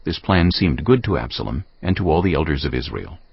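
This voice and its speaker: A man narrating an audio Bible from a script in a solemn, measured storytelling manner. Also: a bass voice, sing-song, creaky, breathy edge, no echo, muffled recording.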